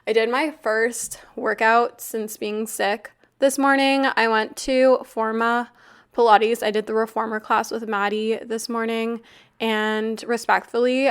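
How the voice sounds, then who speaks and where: Raspy-voiced young Canadian woman podcasting. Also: on the high side, fairly bright tone. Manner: chatty, candid, swears casually. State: raspy, nasal voice from a flu cough. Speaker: podcast host. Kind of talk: casual solo podcast chat.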